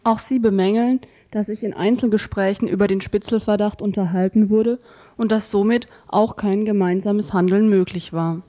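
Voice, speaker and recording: Female presenter, mid-range, very mellow, scripted radio narration. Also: mid-range voice, steady tone, normal speed, highs cut off. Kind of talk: scripted narration introducing quotations.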